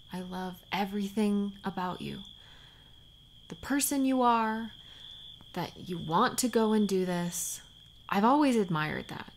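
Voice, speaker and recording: A woman acting as a tender, worried lover of a warrior in an intimate audio roleplay, speaking softly and haltingly, sometimes close to a whisper. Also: medium pitch, a little hiss or hum. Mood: tender, admiring. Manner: soft, halting, sighing, sometimes near whisper.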